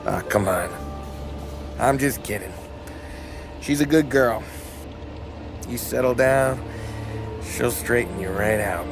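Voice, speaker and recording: A man's voice: mid-range voice, slow pace, pauses often, music in the background. A man playing a brash, joking, tough-talking gangster with a slangy, teasing, casual manner in a video game crime drama. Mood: cocky, teasing.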